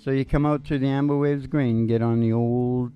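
A man's voice: mid-range voice, fairly even intonation, a little fast, dull audio. A man in his sixties hosting a show from a stage, giving rambling, folksy, laid-back banter that drifts off into asides. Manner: meandering, laid-back storyteller with trailing-off asides. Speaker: musician and show host. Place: small indoor stage with curtain. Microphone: stand microphone, close. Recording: live music behind the voice. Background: acoustic guitar picking.

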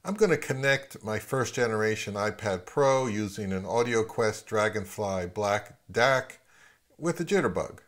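A man's voice: lowish voice, animated, a little slow, fairly bright tone. A man, giving a conversational product review as an audio gear reviewer.

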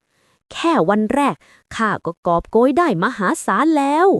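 A sly, smug travelling merchant from a storybook, acted out by a woman. The boastful patter swings up and down in a fairly high voice, greedy and coaxing. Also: sing-song, unhurried, dry recording, studio quality. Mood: gloating, smug. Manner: wheedling, boastful sales patter.

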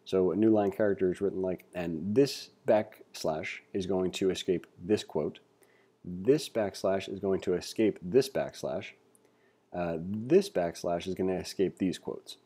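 A man giving a read-along tutorial as an instructor, reading aloud and adding informal asides as he thinks out loud. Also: sits low, measured pace, breathy edge. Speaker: programming instructor. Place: indoors, screen-recording voice-over.

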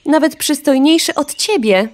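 A woman's voice: sits high, normal speed, a little mellow, close to an everyday voice. A woman voicing a vain, flippant, rather dim gossipy hen in a children's audio play, sassy and offhand.

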